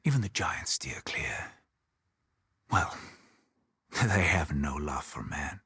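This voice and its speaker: A man playing a weary, haunted Norse settlement leader in a video game, speaking gravely and deliberately in a husky, breathy voice. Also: a bass voice, big pitch swings, pushed and bright, no echo, exaggerated voice. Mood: grave, hushed. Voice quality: husky, breathy, often near a whisper. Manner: slow, deliberate, grave, with pauses. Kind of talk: dramatic video game acting.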